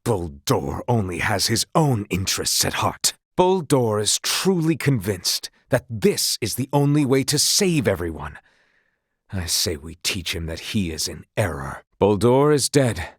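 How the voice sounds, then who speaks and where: A dutiful, remorseful knight from a fantasy video game. His voice sits on the low side and has a husky grain. He speaks in formal, archaic courtly phrases with solemn sincerity, recorded close and dry. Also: sing-song, unhurried, no room sound, full character voice. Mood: grave, sincere. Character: solemn honourable male former sea knight, remorseful, dutiful. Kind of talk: dramatic scripted game dialogue.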